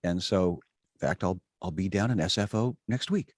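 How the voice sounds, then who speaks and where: A man's voice: low-pitched, normal speed, dry recording, studio quality. A man chatting casually as a member of an online panel. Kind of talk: casual chat. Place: remote video call.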